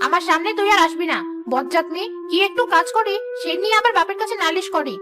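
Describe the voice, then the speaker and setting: A woman voicing a harsh middle-aged stepmother in a cartoon, sharp and sarcastic. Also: very high-pitched, lively intonation, fast, background noise. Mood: scolding. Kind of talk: cartoon dubbing.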